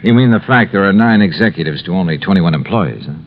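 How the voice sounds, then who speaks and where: An actor playing a shrewd, brisk insurance investigator in 1950s radio detective drama style, probing, clipped and wry. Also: male voice, low voice, lively intonation. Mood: probing, thoughtful. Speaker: lead actor and narrator. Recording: muffled, noisy old radio recording.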